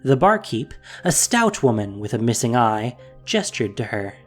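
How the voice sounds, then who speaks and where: Expressive male storybook narrator. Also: medium pitch, moderate pace, soft background music.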